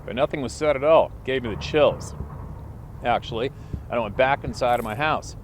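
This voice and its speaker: A man's voice: mid-range voice, normal speed, a little hiss or hum. A man hosting a channel, reading a listener's letter aloud as a plain story, recorded outdoors. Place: outdoors by the water.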